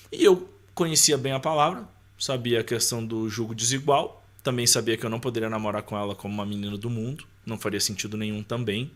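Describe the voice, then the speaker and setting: Guy, mid pitch, chatting casually on a podcast telling stories from his life, lots of little tag questions. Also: fairly bright tone. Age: adult. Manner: conversational, punctuates with tag questions and quotes remembered dialogue. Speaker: podcast guest. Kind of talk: casual personal storytelling.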